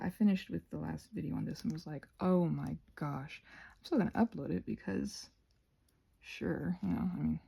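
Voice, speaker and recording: A woman's voice: medium pitch, gravelly, mellow. A woman giving a rambling, casual craft tutorial as host, chatty and playful, with scattered, self-deprecating asides.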